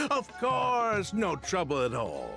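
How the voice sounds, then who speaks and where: A man's voice: sits high, wildly swooping pitch, slow, vocal fry, exaggerated voice. An actor playing a sly, suspiciously genial man in a video game, breezy and over-polite. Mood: obliging. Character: suspiciously genial, sly male hotel guest. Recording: music behind the voice.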